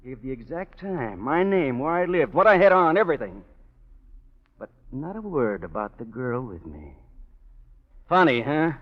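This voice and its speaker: An actor playing a menacing, smug American gambler and killer in a 1940s radio crime drama, sardonic and slangy. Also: male voice, medium pitch, very animated, normal speed, close to an everyday voice. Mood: accusing. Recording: dull lo-fi 1940s radio recording.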